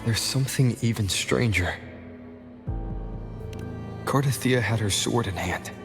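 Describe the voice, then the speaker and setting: Serious young adventurer from a game, lowish and thoughtful, big reverb, music going. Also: male voice, a little slow. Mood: pensive. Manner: pensive, earnest. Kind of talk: video game voice acting. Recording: music behind, long hall reverb.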